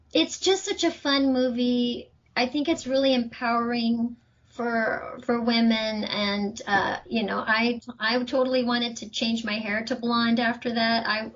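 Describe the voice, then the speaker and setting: A woman chatting casually as a guest on a podcast. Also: a little high. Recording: dull lo-fi audio.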